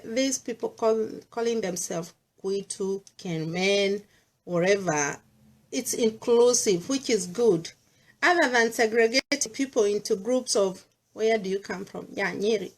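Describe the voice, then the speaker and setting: A middle-aged Kenyan woman with a Kenyan accent, speaking earnestly in a personal monologue and mixing English with Swahili. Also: fairly high pitch. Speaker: vlogger sharing personal views.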